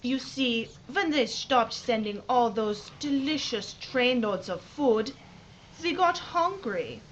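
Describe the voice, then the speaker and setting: A woman's voice: fairly high pitch, lively intonation, takes it slowly, dull audio, slight background noise. A woman voicing a seductive, menacing, hungry female vampire in an audio drama. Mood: menacing, mocking.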